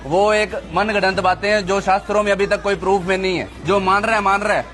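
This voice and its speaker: A man speaking in a street interview at a market, forceful and insistent. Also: sits high, fairly even intonation, fast. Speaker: protester.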